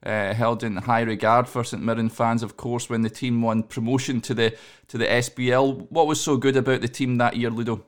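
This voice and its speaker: Hesitant male interviewer, mid-pitched. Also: fairly even intonation, clear, resonant tone. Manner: hesitant, halting phrasing. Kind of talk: casual interview questioning.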